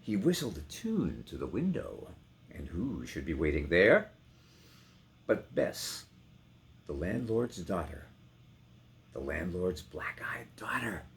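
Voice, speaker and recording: A man's voice: sits low, very animated, takes it slowly, creaky, long pauses, exaggerated voice. A man performing as a brooding storyteller in a dramatic poetry recitation, theatrical and varying strongly in force. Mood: hushed. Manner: theatrical, swelling and dropping to whispers. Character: brooding, dramatic storyteller of a romantic ghostly ballad.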